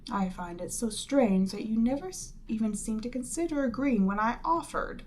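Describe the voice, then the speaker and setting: A woman voicing a proud, seductive demon queen in a scripted ASMR roleplay, haughty and measured, lapsing into breathy near-whispers. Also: fairly high pitch, lively intonation, a little mellow, slight background noise, lightly acted. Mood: hesitant, curious.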